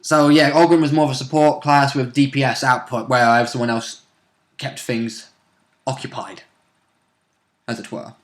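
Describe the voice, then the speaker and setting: A man's voice: medium pitch, little pitch movement, normal speed, long pauses. A man chatting casually as a co-host, giving commentary on game characters and their fights.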